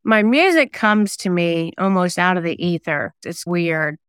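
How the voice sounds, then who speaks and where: A woman's voice: fairly low pitch, expressive, unhurried, a bit bright, clear, resonant tone, no echo, clean studio sound. A woman giving conversational interview answers in a chatty, self-deprecating way. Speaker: guest singer-songwriter and novelist.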